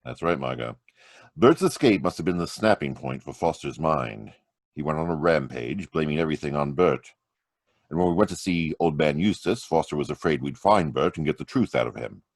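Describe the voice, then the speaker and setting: Deep dramatic radio hero, swoopy delivery, dry close mic. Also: male voice, animated, moderate pace, no room sound, heavily acted. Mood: explaining. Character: confident male crime-solving hero, mocking. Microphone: home mic over video call.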